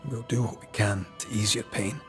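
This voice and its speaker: An actor playing a steady, protective male ghost hunter in a video game, with a soft-spoken, consoling manner. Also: on the low side, a little fast, lightly acted. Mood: sympathetic, consoling. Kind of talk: video game cutscene acting. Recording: music under the dialogue.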